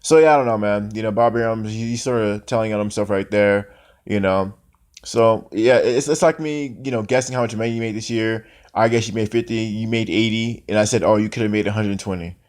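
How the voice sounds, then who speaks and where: A man's voice: lowish voice, a little fast, a little mellow. A man talking casually and off the cuff as a sports vlogger, opinionated and conversational. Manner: conversational, opinionated, peppered with "man" and "you know". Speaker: boxing commentary vlogger.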